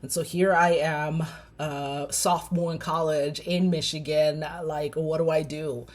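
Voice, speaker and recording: A woman in her forties from Zimbabwe, a clinical psychologist telling her personal story with many pauses and fillers. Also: low voice, flat delivery. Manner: reflective, many ums and uhs.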